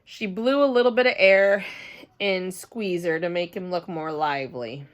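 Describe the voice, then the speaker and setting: A woman reading a children's book aloud as a storyteller, expressive and dramatic, telling it as the child narrator. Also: fairly low pitch, normal speed, lo-fi sound.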